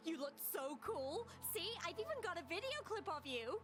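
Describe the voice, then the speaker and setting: An excitable young woman in a video game, with a very high voice that bounces around. She gushes with cheeky, bubbly eagerness over clear background music. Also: moderate pace. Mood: bubbly, eager. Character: perky, excitable young female photo enthusiast.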